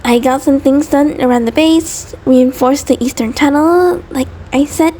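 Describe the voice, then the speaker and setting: A woman's voice: sits high, unhurried, dark tone, a little hiss or hum. A woman voicing an anxious, devoted centipede creature partner in an intimate audio roleplay, nervous and hesitant, stammering and rambling. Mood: shy, affectionate, nervous. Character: anxious, devoted female mutant centipede partner, sweet and neurotic.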